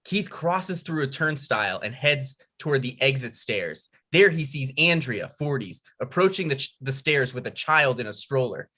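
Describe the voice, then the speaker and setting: A man's voice: medium pitch, bright, forceful tone. A man narrating a script's stage directions at a table read.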